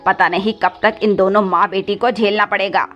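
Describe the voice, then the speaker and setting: A woman acts out a scheming crow stepfather for a cartoon fable. He is cruel and sly, a scolder and wheedler. Soft music sits behind slightly dull sound. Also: medium pitch, steady tone, moderate pace, lightly acted. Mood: angry scolding. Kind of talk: cartoon moral story voice acting.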